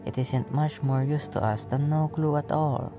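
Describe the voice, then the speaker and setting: A man playing a stolid, patronizing police desk sergeant in a radio play, placating and unhurried. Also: a little high, steady tone, music in the background, lightly acted. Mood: dismissive, reasoning.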